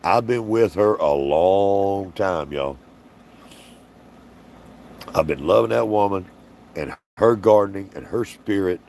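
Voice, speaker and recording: A man's voice: low voice, expressive, slow, vocal fry, pauses often, darkish tone. A man hosting a casual livestream Q&A, folksy and rambling, reading out viewer comments in a tired, strained voice. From Alabama, US. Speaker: livestream host.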